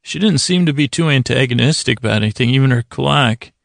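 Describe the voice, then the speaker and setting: Gravelly, meandering man lulling listeners to sleep. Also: mid-range voice, expressive, dry recording, clean studio sound. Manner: gentle, soothing; meandering, halting, fumbling, self-interrupting. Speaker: podcast host. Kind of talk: rambling bedtime monologue to lull listeners to sleep.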